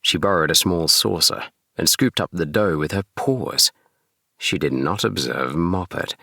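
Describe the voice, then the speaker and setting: Deep, creaky-voiced man reading a bedtime story. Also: measured pace, bright, forceful tone, dry recording, studio quality. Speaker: storybook narrator. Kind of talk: bedtime audiobook narration. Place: small DIY recording booth.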